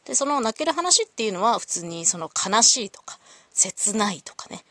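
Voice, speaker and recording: A woman's voice: medium pitch, big pitch swings, moderate pace, a bit bright, dry recording. A woman hosting a solo audio show, talking casually to listeners in a polite, reflective, explanatory way.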